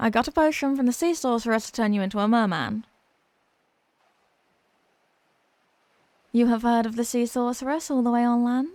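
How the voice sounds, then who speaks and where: A woman's voice: a little high, darkish tone, no room sound, natural voice. A woman playing an obsessive, haughty young mermaid princess in a roleplay ASMR drama, sweetly smug and condescending. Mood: condescending, pleased.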